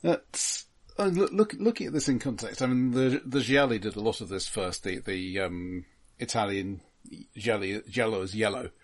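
Man, low voice, film podcast co-host. Hesitant, explanatory, measured delivery in casual discussion.

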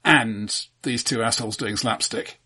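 Man, podcast co-host. Medium pitch with a rough, husky grain, casual countdown chat, hesitant phrasing. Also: a little slow, pushed and bright. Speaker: film discussion podcast co-host.

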